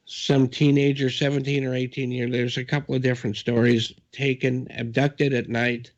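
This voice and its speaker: A man's voice: mid-range voice, flat delivery, moderate pace, lo-fi sound. A man hosting a radio talk show, chatting casually and rambling in an easygoing way.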